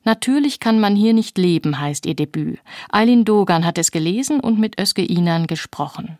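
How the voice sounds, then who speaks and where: A woman's voice: medium pitch, expressive, normal speed, a little mellow, dry recording, clean studio sound. A woman presenting a scripted introduction on a radio book programme.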